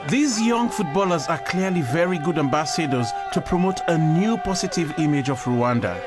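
A man's voice: on the high side, animated, moderate pace, over loud music. A middle-aged man from Sierra Leone presenting a documentary report, speaking earnestly and reflectively outdoors. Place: outdoors at a football ground.

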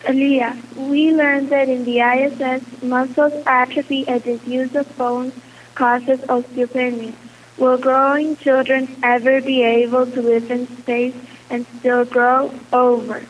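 A woman's voice: high voice, level tone, a little slow. A female student reading out a prepared question. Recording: distant mic with faint hiss.

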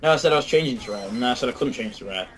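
A man's voice: mid-range voice, expressive, racing, noisy background. A young man bantering casually with a friend while gaming, blunt and teasing. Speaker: co-player.

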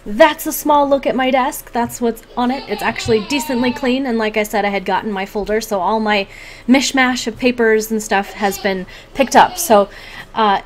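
A woman in her thirties with a fairly high voice talks casually to camera like a home vlogger. Her delivery is chatty and animated, recorded in a room with faint background noise. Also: normal speed. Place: small home room, indoors.

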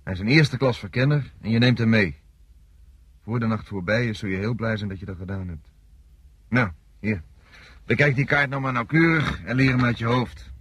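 An actor playing a firm army commander in a radio play, blunt and measured, with a husky voice. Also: male voice, mid-range voice, fairly bright tone, dry recording. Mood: stern, insistent. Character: firm, authoritative male army commander briefing a subordinate. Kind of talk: Dutch radio play acting.

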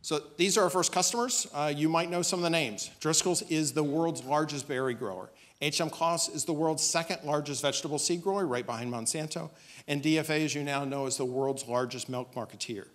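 A man giving a business pitch presentation as a startup presenter, speaking on stage into a podium microphone. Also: fairly quick, fairly bright tone. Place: on stage in a dim auditorium.

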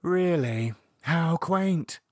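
A man's voice: a little high, expressive, very slow, no echo, clean studio sound, voice pushed up, exaggerated voice. A man voicing a haughty, sensual woman with a macabre streak, arch and sardonic, with a British accent, in an audiobook. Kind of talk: audiobook character dialogue.

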